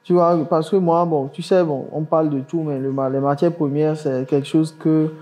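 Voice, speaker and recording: Male podcast host, curious and questioning, slightly higher mellow voice. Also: fairly even intonation, normal speed. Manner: curious, questioning, thinks aloud with fillers. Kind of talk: casual podcast conversation.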